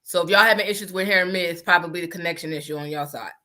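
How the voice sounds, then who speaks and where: A woman from New Jersey with a deep voice, hosting a live podcast in loose, chatty talk that often restarts mid-sentence. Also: very fast, sharp tone. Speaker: podcast host. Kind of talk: casual live podcast chat.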